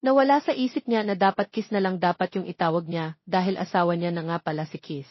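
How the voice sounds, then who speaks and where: A woman's voice: on the low side, brisk, dry recording, muffled recording. A Filipino woman narrating a scripted romance story.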